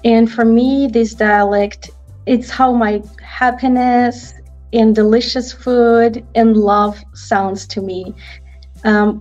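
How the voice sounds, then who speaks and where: A woman talks fondly about her picture book over a video call. Her voice sits fairly high. The sound is dull and lo-fi, like laptop call audio. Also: darkish tone. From Armenia.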